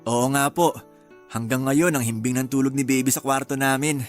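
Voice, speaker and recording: A man's voice: medium pitch, steady tone, normal speed, lightly acted. An actor playing a mild, reassuring young husband and father in a radio drama. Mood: easygoing. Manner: mild, reassuring, calls wife "mahal". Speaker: husband character. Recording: faint music bed behind.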